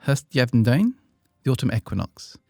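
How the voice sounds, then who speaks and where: A man's voice: medium pitch, very animated, takes it slowly, gravelly, a little mellow, no room sound, studio quality, faint music behind. A British man hosting an educational podcast, reading a script with playful, self-deprecating asides. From Britain. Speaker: podcast host.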